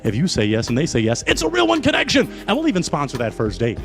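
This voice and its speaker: A man hosting a live dating show in an excitable, playful, rambling way, speaking into a handheld mic. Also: fairly high pitch, fast, creaky, soft background music.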